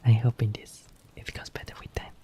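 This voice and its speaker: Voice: fast talker, mellow. Nervous, giggly ASMR streamer in a whisper. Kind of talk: ASMR roleplay.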